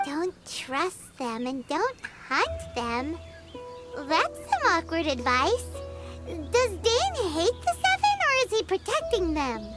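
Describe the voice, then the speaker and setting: An actress voicing a tiny, chirpy, excitable female companion sprite in a game, with a very high, squeaky, childlike voice. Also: slow pace, full character voice. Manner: excitable, chatty, teasing. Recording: game audio with loud music.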